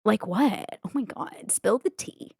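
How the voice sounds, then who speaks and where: A woman's voice: mid-range voice, animated, measured pace, vocal fry, dark tone, husky, dry recording, clean studio sound, exaggerated voice. A woman playing a flighty, vain and ruthless vampire crime upstart, with breezy valley-girl filler talk in an improvised roleplay game. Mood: eager, probing. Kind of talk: improvised in-character roleplay dialogue.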